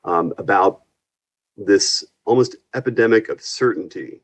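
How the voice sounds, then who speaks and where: A man's voice: fairly low pitch, unhurried. A man speaking as an instructor in a conversational interview, earnest and given to acting out little quotes as he explains. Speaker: university physics and astronomy instructor, guest.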